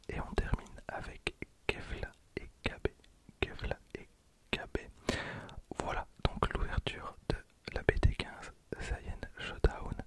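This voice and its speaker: A man whispers softly in an ASMR style, unhurried, repeating phrases slowly into a close microphone. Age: 20s. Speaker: ASMR video creator and host. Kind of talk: ASMR whispering, card pack opening commentary. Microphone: handheld recorder used as close ASMR microphone.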